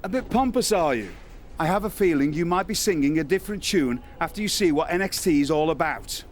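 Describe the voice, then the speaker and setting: A stern yet courteous male wrestling boss in a video game played by a man. He speaks formally and with measure in a somewhat high voice, over faint noise. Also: lively intonation, fairly quick, lightly acted. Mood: cautioning. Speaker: talent recruiter. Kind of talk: video game cutscene dialogue.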